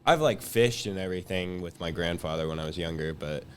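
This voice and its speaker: A man's voice: low-pitched, brisk, slight background noise. A young man in his late teens chatting casually as a guest, modest and hedging about himself. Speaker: student guest. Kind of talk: casual chat.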